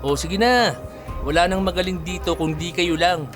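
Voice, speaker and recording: A man's voice: high-pitched, moderate pace, close to an everyday voice. An actor playing a blunt, nosy but friendly young male janitor in a Filipino radio drama. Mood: annoyed. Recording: music bed under dialogue.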